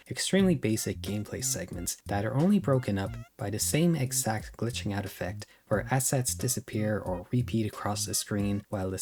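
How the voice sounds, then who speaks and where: A man's voice: fairly low pitch, darkish tone. A man narrating a video essay in a casual, opinionated way. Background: music.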